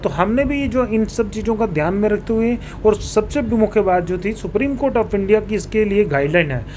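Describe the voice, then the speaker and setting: A man's voice: high-pitched, expressive, brisk, darkish tone. A man speaks as a local politician in an interview. He explains things formally and drops in English terms. Some background noise sits behind him. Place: small indoor office, seated on a sofa. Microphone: handheld reporter mic held toward him. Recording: clear background room noise.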